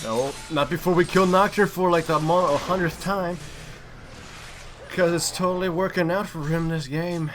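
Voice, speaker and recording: A man's voice: on the high side, steady tone, moderate pace, music in the background. A man giving casual, chatty commentary on a video game replay as one of the players.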